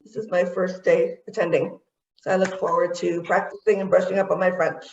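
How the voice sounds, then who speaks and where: A woman's voice: on the low side, level tone, brisk, gravelly. Cheerful woman in an echoey room. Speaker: first-time guest. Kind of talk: self-introduction at a club meeting. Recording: echoey, lo-fi video call audio.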